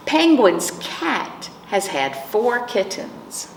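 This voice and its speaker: A woman's voice: mid-range voice, big pitch swings, drawn-out words, vocal fry. A woman hosting storytime, reading picture books aloud to young children with simple explanations and questions. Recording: roomy, distant mic, faint noise.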